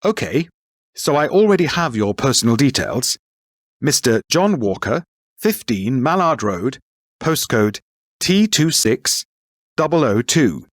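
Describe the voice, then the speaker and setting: A man plays a careers advisor in a scripted textbook listening dialogue. He asks polite, businesslike questions, and his pitch is lively and varied. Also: a little slow.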